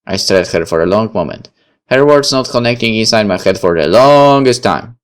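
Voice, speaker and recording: A man's voice: on the low side, expressive, normal speed. A man narrating a visual novel as he plays, reading the story aloud.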